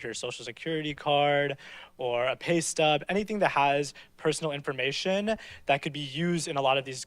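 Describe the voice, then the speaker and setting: A man walking through his team's software demo, casually narrating what is on screen. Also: mid-range voice, dry recording. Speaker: hackathon presenter.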